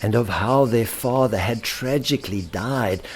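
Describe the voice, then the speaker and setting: A man narrating a rhyming children's story with theatrical, deliberate emphasis. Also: medium pitch, a little hiss or hum. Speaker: author and storyteller.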